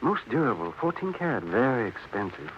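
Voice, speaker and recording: A man's voice: mid-range voice, sing-song, brisk, close to an everyday voice. An American actor playing a fussy, chatty, nervously affable male dentist in an old radio crime drama, hesitant and over-polite. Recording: dull, noisy vintage radio recording.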